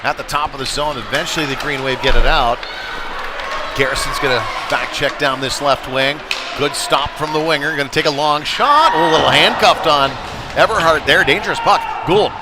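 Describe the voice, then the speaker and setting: A man's voice: fairly high pitch, moderate pace. A man doing live play-by-play commentary of a youth ice hockey game, in a folksy, home-team way. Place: indoor ice rink, at the game. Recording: loud rink noise with puck slams. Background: loud rink ambience, bangs.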